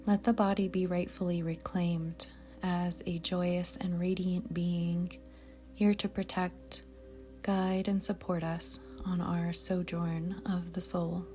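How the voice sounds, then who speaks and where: A woman leads a guided meditation in a mellow, very even voice. She is soft and breathy, often slipping toward a whisper, with a soothing, deliberate manner. Faint music sits behind her. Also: lowish voice. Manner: calm, soothing, gentle, deliberate. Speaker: yoga nidra meditation guide. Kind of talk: slow guided meditation narration.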